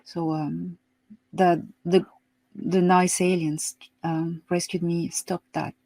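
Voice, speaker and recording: A woman from France with a French accent, giving a hesitant, soft-spoken account as a guest on a video call. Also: fairly low pitch, little pitch movement, takes it slowly, no echo, poor audio. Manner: soft-spoken, hesitant with many ums, laughs easily. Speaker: interview guest. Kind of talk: remote interview, storytelling.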